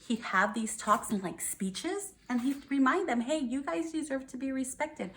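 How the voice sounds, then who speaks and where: A woman's voice: high-pitched, lively intonation, normal speed. An American woman, a first grade teacher, reads aloud to young children and explains things simply, checking in with questions.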